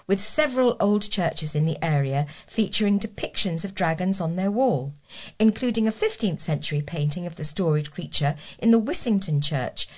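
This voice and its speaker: A woman's voice: mid-range voice, darkish tone. A woman with a British accent giving a scripted reading of local articles as a volunteer reader.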